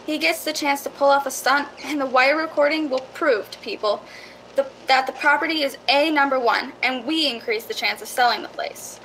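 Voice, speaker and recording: Radio drama property seller played by a woman: bright, high, chatty, persuasive, folksy. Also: moderate pace, a little hiss or hum, rough recording. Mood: eager, reassuring. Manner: eager, reassuring, folksy salesmanship.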